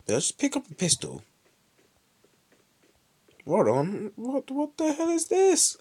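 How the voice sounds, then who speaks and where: A young British man with a lively voice commentating his mobile game live, chatty with sudden excited outbursts, saying he is ill. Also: very high voice, measured pace, husky. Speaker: streamer.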